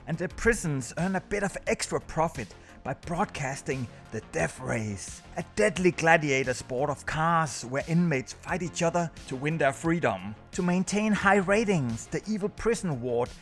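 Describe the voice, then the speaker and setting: A man's voice: a little high, lively intonation, normal speed, hardly any pauses, a bit bright. A man reading a scripted countdown review as the host of a video. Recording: music under the voice.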